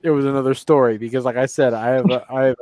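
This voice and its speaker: Man, tipsy, rambling, self-mocking, mid-pitched, dry close sound. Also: steady tone, fast, a little mellow, no echo. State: drunk. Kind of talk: casual chat.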